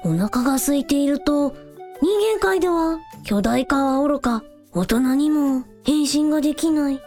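甲高い声の小さなドラゴン王子. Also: female voice, high-pitched, lively intonation, unhurried, mellow, soft background music. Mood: hungry, sleepy. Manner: quaint old-man sentence endings in a childlike register. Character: small gluttonous dragon prince with old-fashioned speech, proud, earnest. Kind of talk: amateur anime-like radio drama acting.

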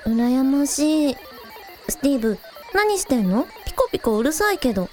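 A woman acts out a young village idol in a radio drama with a high, expressive voice. She is friendly and eager, polite one moment and casually teasing the next. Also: moderate pace, faint music behind. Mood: curious, teasing. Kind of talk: Japanese audio drama dialogue.

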